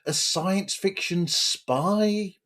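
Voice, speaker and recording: Casual male interviewer for a podcast, unhurried delivery, somewhat hesitant phrasing. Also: high-pitched, a bit bright. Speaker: podcast host interviewing a guest.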